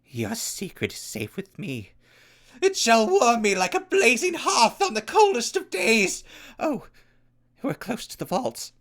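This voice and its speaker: A man's voice: very high voice, huge pitch swings, measured pace, a bit bright, exaggerated voice. A man playing a volatile pyromaniac clown soldier in an audio drama, with grandiose, florid speech and swooping outbursts. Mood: delighted.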